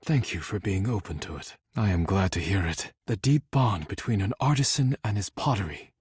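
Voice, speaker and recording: A man's voice: fairly low pitch, lively intonation, moderate pace, darkish tone, husky, no echo, clean studio sound. A man voicing a proud, devoted potter in a video game, speaking formally and precisely with earnest, somewhat haughty diction. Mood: sincere, grateful. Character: proud, formal, devoted male potter, an animate dishware character. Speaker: voice actor. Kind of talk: video game character dialogue.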